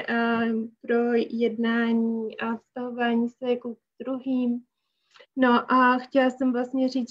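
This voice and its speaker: A young woman answering questions as a guest poet over a remote call, speaking hesitantly with many pauses. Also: a little high. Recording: dull remote call audio.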